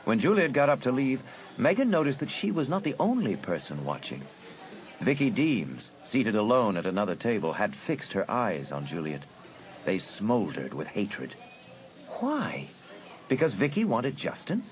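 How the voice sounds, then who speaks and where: A man narrating a suspense audiobook in a dramatic, deliberate storytelling manner. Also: mid-range voice, huge pitch swings.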